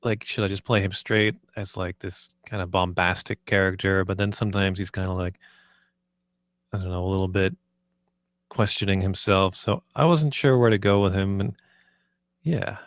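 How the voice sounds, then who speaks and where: A man giving polite, casual feedback, talking in a measured and tentative way. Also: low voice, steady tone, no room sound, clean studio sound. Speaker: voice actor giving script feedback.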